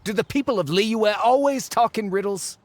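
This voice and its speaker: A man's voice: sits high, normal speed, natural voice. An actor voicing a brash, impatient male treasure hunter in a video game. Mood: brash, greedy. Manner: brash, dismissive. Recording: game score playing faintly.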